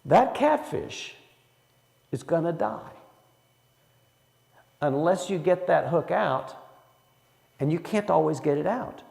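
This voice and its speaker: A man's voice: on the high side, expressive, takes it slowly, creaky, pauses often, darkish tone, long reverb tail. A man leading a church Bible study in a folksy way, asking the room questions as he teaches. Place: church hall.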